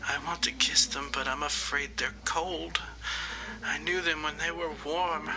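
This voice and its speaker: Casting: male actor for heartbroken young groom, highish anguished voice, vintage radio melodrama, crowd sound behind. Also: steady tone, a little slow, rough recording. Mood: tender grief. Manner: sobbing, melodramatic, tender. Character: grief-stricken young bridegroom mourning his dead love. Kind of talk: live audio horror drama in 1930s radio style.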